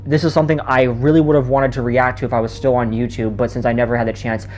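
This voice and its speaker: A man's voice: medium pitch, level tone, quick pace, a little mellow. A man giving a casual spoken video review in a rambling way, often stumbling over his words. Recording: noticeable background noise.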